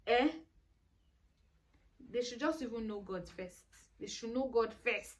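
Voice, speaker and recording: A woman in her twenties talking to camera in a casual vlog, giving earnest, preachy life advice and repeating herself for emphasis. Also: mid-range voice. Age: 20s. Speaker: vlogger.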